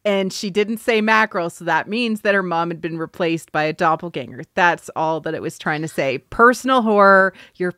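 Woman co-host, low voice, dry close mic, explainer. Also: lively intonation, normal speed, hardly any pauses, pushed and bright, no room sound. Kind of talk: casual drama recap chat.

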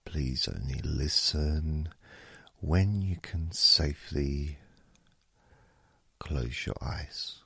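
A man hosting a relaxation hypnosis session in a soothing, hushed way, leaving long pauses. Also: extremely low pitch, vocal fry, slightly rough, dry recording. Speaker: hypnosis podcast host.

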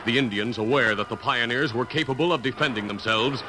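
A man's voice: mid-range voice, over music, rough recording. Dramatic old-time radio narrator, a man. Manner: formal, measured diction.

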